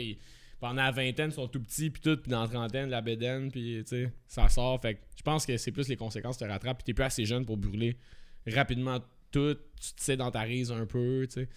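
A man in his late twenties with a Québécois accent, co-hosting a podcast in a relaxed, joking way and swearing freely. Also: mid-range voice, clean tone. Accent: Québécois French. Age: late 20s. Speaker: podcast co-host. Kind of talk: casual chat.

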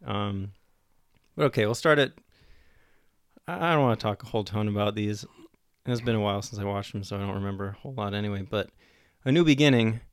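This is a man with a fairly low, clean, resonant voice, recorded close and dry. He talks in a laid-back, joking way, as a guest swapping opinions about films with friends on a podcast. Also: fast, clear, resonant tone, dry recording. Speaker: guest on a movie discussion podcast. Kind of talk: casual chat.

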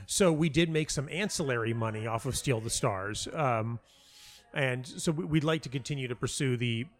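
A man speaking as an interview guest, telling personal anecdotes casually in a halting way, interrupting himself and repeating words for emphasis. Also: medium pitch. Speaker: guest, playwright and audio dramatist.

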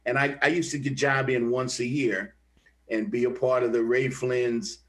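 A man's voice: mid-range voice, flat delivery, normal speed, a bit bright. An older actor from the Kansas City area speaks in an easygoing interview and tells his stories. He often stops and restarts his sentences, repeating words as he gathers his thoughts. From Kansas City area, USA. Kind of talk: conversational interview, storytelling.

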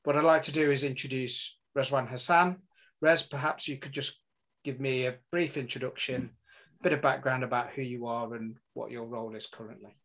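A man's voice: medium pitch, fairly even intonation, fairly quick, fairly bright tone. A man speaking as a podcast host, introducing the show and his guest.

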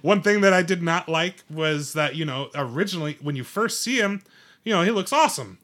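A man's voice: a little high, animated, fairly quick, fairly bright tone. A middle-aged man giving his opinions as a podcast co-host in casual conversation. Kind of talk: casual movie discussion.

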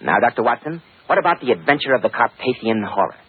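Rough-voiced 1940s male radio announcer, swooping pitch. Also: sing-song, fast talker, no room sound. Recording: tinny, band-limited 1940s radio recording.

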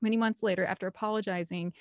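A woman's voice: medium pitch, steady tone, very fast, dry recording. Midwestern American woman, dry close recording. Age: 30s. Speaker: podcast guest, film composer and director. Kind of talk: casual chatty interview storytelling.

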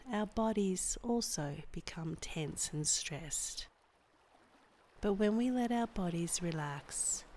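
A woman guiding a meditation in a soft, breathy, soothing voice with measured delivery and long pauses. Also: mid-range voice, slight background noise. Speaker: meditation guide and podcast host. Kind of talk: guided body scan meditation, scripted narration.

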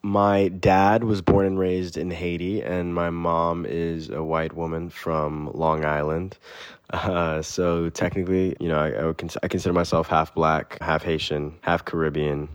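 Actor in an interview, very low and level, dry close sound, thoughtful with restarts. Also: male voice, little pitch movement, measured pace, clear, resonant tone, dry recording. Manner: hesitant, with restarts and fillers. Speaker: actor guest.

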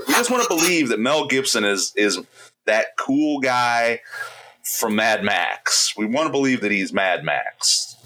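Jokey man with a bright voice. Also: mid-range voice, sing-song, measured pace, rough recording. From Indiana. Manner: jokey, rambling, breaks into laughs. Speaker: podcast co-host. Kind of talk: casual movie podcast chat.

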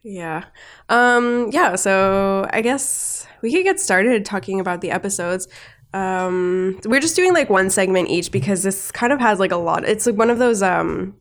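A woman's voice: medium pitch, lively intonation, unhurried. A woman with a stuffy nose from a cold, co-hosting a podcast and chatting casually about a cartoon, rambling with lots of fillers. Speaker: podcast co-host. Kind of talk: casual cartoon review chat.

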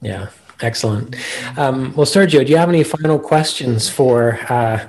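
A man's voice: mid-range voice, moderate pace, a little mellow, poor audio. A man hosting a casual music interview, affirming and reflective as he talks. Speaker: podcast host.

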